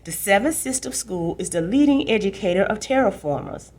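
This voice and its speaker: A woman's voice: mid-range voice, animated, a little fast, darkish tone, pitched above the performer's own voice, natural voice. A woman reading a storybook as a determined young big sister, earnest and reassuring, a little defensive. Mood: mock offended.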